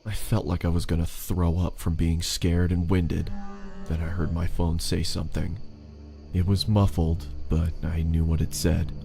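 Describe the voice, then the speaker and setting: Deep, gravelly man narrating a scary story. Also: flat delivery, a little slow, warm tone, faint music behind. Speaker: narrator of a first-person horror story.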